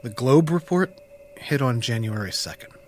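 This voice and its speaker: An actor performs a wistful man in an audio drama, reflective and candid. His middling voice moves haltingly through wry recollection, with faint noise behind it. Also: medium pitch, expressive, lightly acted. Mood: somber, grieving. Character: wistful, grieving former youth pastor, candid and wry. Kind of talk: naturalistic audio drama acting.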